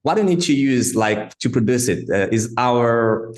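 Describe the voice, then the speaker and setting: A man's voice: medium pitch, animated, normal speed. Man host, echoey room. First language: Portuguese. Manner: hesitant, many fillers and restarts. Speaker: podcast host. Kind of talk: interview questions. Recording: roomy echo.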